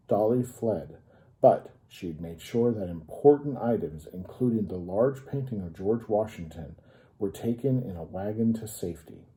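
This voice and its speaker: American male storyteller, low-pitched and very mellow, reading to kids with explanatory asides. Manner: explanatory, pausing to add simple clarifications. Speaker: storyteller reading a children's history book aloud. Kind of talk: read-aloud storytelling with informal asides to young listeners.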